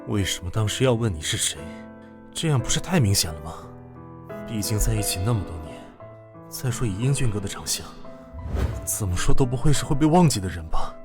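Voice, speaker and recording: A man's voice: lowish voice, big pitch swings, a little fast, over music. A man playing an obsessive, secretive young male student who is easily flustered, in a comic drama dub. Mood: panicked inner monologue. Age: young adult. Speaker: character voice in a dubbed comic drama.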